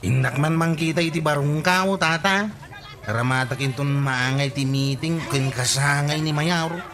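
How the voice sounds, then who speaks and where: Reluctant village man: Ilocano accent, moderately high pitch, grumbling radio-comedy delivery. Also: unhurried. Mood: matter-of-fact. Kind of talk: Filipino radio comedy drama acting.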